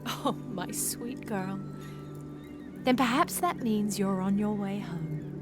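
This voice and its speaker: A woman's voice: mid-range voice, slow pace, natural voice. A woman acting a free-spirited, dreamy young sailor in a Regency-era audio drama, poetic and full of wonder. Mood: tender, earnest. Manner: wonder-filled, poetic, effusive. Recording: music bed behind the voice.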